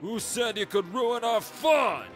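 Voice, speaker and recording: A man's voice: very high-pitched, lively intonation, slow, a bit bright, exaggerated voice. A man voicing a brash, bullying skunk mercenary with a taunting, aggressive delivery in a comic dub. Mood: menacing, taunting. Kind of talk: amateur comic dub acting. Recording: clear music behind the voice.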